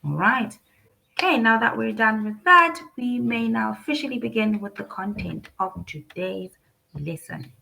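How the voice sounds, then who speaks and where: A woman with a gravelly, fry-tinged voice leads an online English class over a video call. She is encouraging and gives careful, detailed feedback to her students. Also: fairly high pitch, normal speed, bright, forceful tone, low-quality recording. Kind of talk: live online class teaching.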